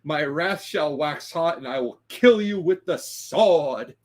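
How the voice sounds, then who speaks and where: Storybook preacher, played by a male reader: blatant, wrathful, very slow bellowed scripture with heavily rolled R's. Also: on the high side, lively intonation. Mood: thunderous.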